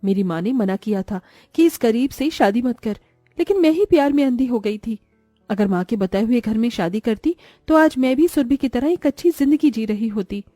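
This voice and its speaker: A woman acts out a greedy, envious young wife from a cartoon moral story. Her voice is fairly high and soft-edged, with a nagging, sharp-tongued manner. It is recorded close and dry over music. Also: animated, moderate pace, no room sound. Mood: scolding, resentful.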